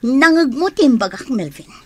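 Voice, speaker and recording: A woman acting an ambitious, scheming, insistent young woman in Ilocano radio drama, with an Ilocano accent and a drawn-out emotional delivery. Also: high voice, lively intonation, no room sound. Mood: insistent, threatening.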